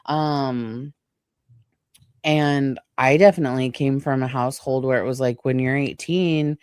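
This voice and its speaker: A woman's voice: extremely low pitch, fairly even intonation, a little slow, a little mellow, clear, resonant tone, no room sound, clean studio sound. A woman in her late thirties chatting casually as a podcast co-host, rambling and acting out imagined quotes. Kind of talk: casual personal chat.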